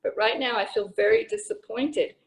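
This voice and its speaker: A woman taking part in an unscripted poetry discussion, speaking in a halting but blunt and opinionated way. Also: fairly high pitch, vocal fry, low-quality recording.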